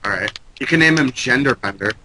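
A man's voice: mid-range voice, animated, a little fast, heavy background noise. A congested man giving joking, profane commentary while playing a video game with a friend. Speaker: let's play co-host. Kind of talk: casual gaming commentary. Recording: loud keyboard typing behind. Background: computer keyboard clicks.

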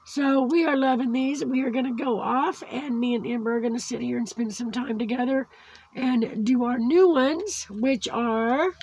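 A woman hosting a casual craft video, her voice worn from losing it after surgery, slowly chatting and cooing over small crafts. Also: fairly high pitch, darkish tone, slightly rough, rough recording. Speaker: crafting channel host. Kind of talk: casual unboxing chat. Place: indoors at a tabletop craft setup.

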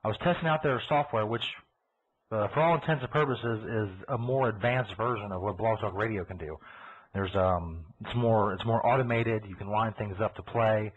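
Kentucky man, low husky voice, rambling profane radio host, tinny phone-like sound. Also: normal speed. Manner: profane, laughing, talks over himself. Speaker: host of a live internet radio show. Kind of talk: rambling casual radio chat. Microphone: computer mic over a call line. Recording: tinny phone-like internet broadcast.

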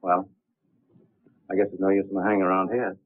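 An actor playing a wry, gentlemanly suitor in a 1930s film. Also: male voice, low voice, level tone, fairly quick. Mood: wounded, wry. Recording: tinny, band-limited 1930s film soundtrack.

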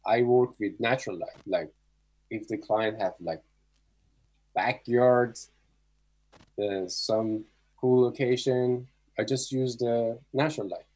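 A middle-aged man from Iran speaks with an Iranian accent in a medium-pitched voice. He tells his story casually as an interview guest, with halting, non-native English phrasing typical of a Persian speaker. He is talking over a video call. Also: a little mellow, low-quality recording. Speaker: guest photographer.

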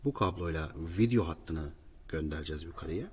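A man's voice: very deep voice, a little fast, darkish tone. A man working as a repair technician, explaining a job informally to viewers on site in an apartment building hallway. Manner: explanatory, addressing viewers directly. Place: indoors, apartment building hallway by cable shafts. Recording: reverberant stairwell, clear background noise.

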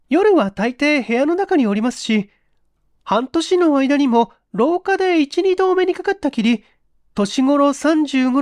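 A woman's voice: high-pitched, darkish tone, dry recording, clean studio sound. A woman playing a distressed, hesitant young office worker in an audio mystery drama, confessing in formal, deferential language.

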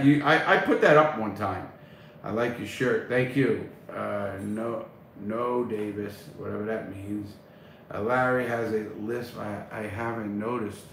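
A man's voice: lowish voice, a bit bright. A middle-aged man hosting a casual livestream, reading chat aloud and answering bluntly, swearing freely, recorded on a phone at arm's length. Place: small room at home, indoors. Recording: echoey room, faint noise.

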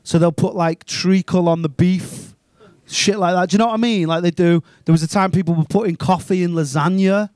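Ranting stand-up comic. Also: male voice, sits high, a little fast, no room sound, clean studio sound. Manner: animated, crude, ranting and incredulous. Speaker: stand-up comedian. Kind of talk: stand-up comedy set. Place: small comedy club stage, live audience. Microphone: handheld mic.

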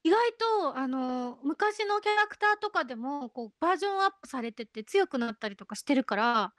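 A woman hosting a radio show, chatting casually in a playful, animated and teasing way. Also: high-pitched, a little fast, dry recording, studio quality.

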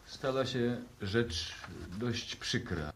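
An actor playing a deferential, reserved manservant in 1960s radio drama style. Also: male voice, medium pitch, lively intonation, very slow, fairly bright tone. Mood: deferential, subdued. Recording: noisy old radio recording.

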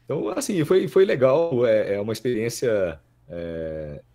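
A man's voice: mid-range voice, huge pitch swings, a little slow, dark tone. A Brazilian man in his thirties chatting casually as a podcast guest, repeating words as he thinks aloud. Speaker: voice actor guest. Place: small plain-walled room indoors.

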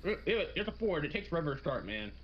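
Casual male roleplay gamer, higher-pitched, joking and grumbling, lo-fi sound with faint noise. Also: very animated, quick pace. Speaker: gaming video creator playing a truck roleplay. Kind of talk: casual improvised gaming roleplay banter. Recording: dull lo-fi mic, faint noise.

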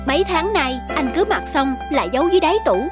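A woman's voice: sits high, very animated, quick pace. A woman voicing a young hardworking wife in a cartoon, emotional and pleading, with music behind. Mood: teasing. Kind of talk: animated cartoon dubbing. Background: background music.

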